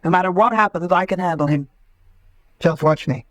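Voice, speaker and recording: An actress playing a vain, flirtatious, self-assured villainess in a vintage radio drama, with a languid, condescending manner. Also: female voice, on the low side, normal speed, dry recording, close to an everyday voice. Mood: amused, teasing.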